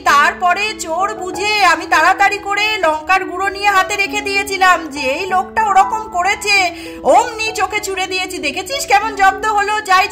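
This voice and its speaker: A woman voicing a bold, scolding young village aunt in a doll story, with an emphatic manner. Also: very high-pitched. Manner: indignant, emphatic.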